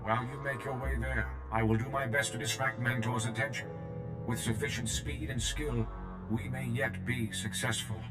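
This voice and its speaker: A man's voice: on the low side, little pitch movement, reverberant, over loud music, lightly acted. A man voicing a blunt, free-willed medical droid in a video game, speaking formally and plainly. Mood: grave, urgent. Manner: formal, plain-spoken, measured. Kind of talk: video game cutscene acting.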